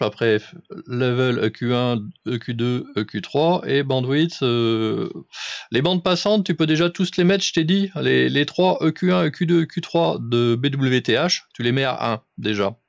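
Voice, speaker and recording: A man with a gravelly, mid-pitched voice talks informally, like a radio operator patiently setting someone straight. He is didactic and exacting about each instruction. The sound is close and dry, with a slightly muffled, lo-fi tone. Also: no room sound. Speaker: amateur radio operator coaching another on settings. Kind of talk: casual instructional radio chat.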